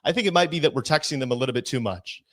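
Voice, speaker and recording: A man's voice: mid-range voice, rapid-fire, fairly bright tone. A man of twenty-one, a guest in an interview, making his case earnestly and laying out examples point by point. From United States. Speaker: interview guest, party committee member. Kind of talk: conversational political argument.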